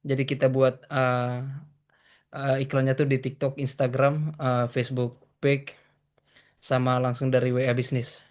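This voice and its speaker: A man's voice: mid-range voice, little pitch movement, measured pace. A man, giving casual interview answers in short, hesitant phrases. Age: 20s. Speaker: podcast guest, tour guide.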